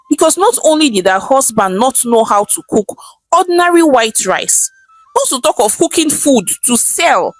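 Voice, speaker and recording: A woman with a Nigerian accent narrating a scripted folktale in a dramatic, expressive storytelling manner. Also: a little high, dry recording, poor audio.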